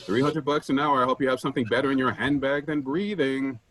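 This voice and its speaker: A man playing a pushy, penny-pinching office boss in a staged dark comedy, blunt and self-important as he lectures. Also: medium pitch, fast, natural voice. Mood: exasperated.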